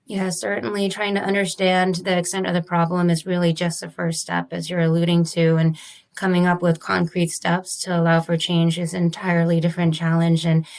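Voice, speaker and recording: A woman's voice: on the low side, darkish tone. A woman physician and researcher, interviewed as a guest, explaining her study in a careful, methodical and formal way. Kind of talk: measured academic explanation.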